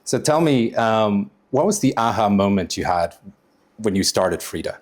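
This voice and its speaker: A man's voice: on the low side, lively intonation, moderate pace. A man hosting a podcast, presenting and asking questions in a polite, encouraging manner. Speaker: podcast host, interviewer.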